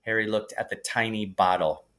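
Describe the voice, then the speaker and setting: A man's voice: lowish voice, steady tone, normal speed, bright, forceful tone. A man reading a children's novel aloud as a storybook narrator.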